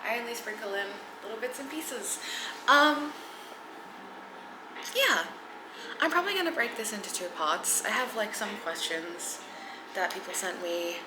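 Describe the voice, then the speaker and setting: An Australian woman in her thirties chatting casually to camera in a get-to-know-me vlog, hesitant with many ums and soft giggles, sometimes dropping near a whisper. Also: medium pitch, animated, a little slow, long pauses, roomy sound, noisy background. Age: 30s. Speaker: content creator. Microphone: camera mic.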